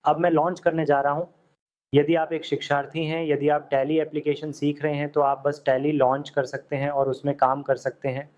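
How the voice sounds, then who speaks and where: Guy walking you through accounting software one click at a time, a bit high-pitched, muffled lo-fi sound. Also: normal speed. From India. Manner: steady step-by-step instruction. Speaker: software tutor. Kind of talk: screen-recorded software tutorial. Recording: dull lo-fi audio.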